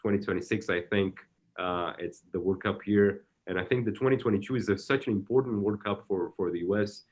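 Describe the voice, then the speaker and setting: A man with a Brazilian Portuguese accent, a soccer technical director, answering interview questions in a halting way and often repeating words while he searches for the next one. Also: on the low side.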